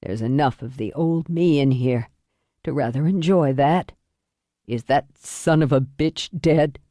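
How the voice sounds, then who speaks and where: A woman voicing a weary, regretful, wryly tough dying father in an audiobook thriller, with weak, halting delivery. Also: low voice, dark tone, dry recording, studio quality, pitched below the performer's own voice. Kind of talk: audiobook thriller character acting.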